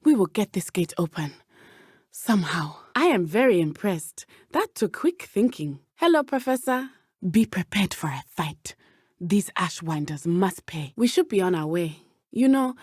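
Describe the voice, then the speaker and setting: An earnest, loyal teenage witch from a fantasy video game. She speaks with a southern African accent at a middling pitch, choosing formal, careful words. The recording is dry and close, with no room sound. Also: very animated, normal speed, a little mellow, dry recording. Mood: composed, determined. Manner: formal, precise phrasing without contractions. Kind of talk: video game character dialogue.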